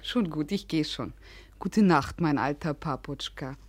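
An actress in a German radio play, playing a cheeky, affectionate young landowner's daughter with a pert, teasing manner. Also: female voice, sits low, a little slow.

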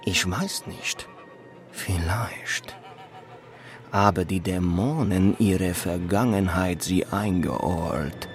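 An actor playing an evasive male interpreter from overseas, speaking halting, broken German with a foreign accent. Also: sits low, over music. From Portuguese Timor. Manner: halting, broken grammar, hesitant.

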